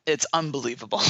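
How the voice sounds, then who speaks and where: A man's voice: on the high side, bright, forceful tone, breathy edge, dry recording. A man giving a casual film review as a guest critic, conversational and emphatic.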